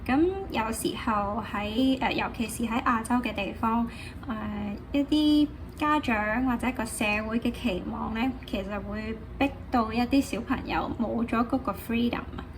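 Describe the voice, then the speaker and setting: A woman's voice: a little high, a little slow. Hong Kong woman, noisy recording. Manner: switches between Cantonese and English, many fillers. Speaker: artist and art teacher. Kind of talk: casual talk about her art. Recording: noisy background.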